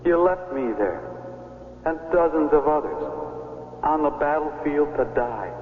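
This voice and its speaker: An actor playing the ghost of a reproachful dead soldier in a radio drama, with an American accent and a ghostly reverb. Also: male voice, a little high, expressive, measured pace. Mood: accusing, reproachful. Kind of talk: 1970s radio drama acting. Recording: tinny radio, long echo, faint noise.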